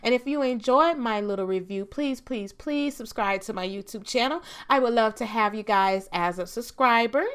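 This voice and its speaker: Chatty woman with a cold-raspy voice. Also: on the high side, moderate pace, hardly any pauses, fairly bright tone. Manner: chatty, laughs often, trails off with "I'm just saying". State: raspy from getting over a cold. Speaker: TV review vlogger. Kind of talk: casual spoken TV episode review.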